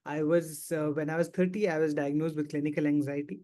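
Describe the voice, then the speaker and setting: A man in his thirties with a fairly high voice, speaking as a panel guest. He tells his own story in an earnest, reflective way. He often restarts sentences and repeats himself as he works through his thoughts. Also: little pitch movement, a little fast, dark tone. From India. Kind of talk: candid personal storytelling.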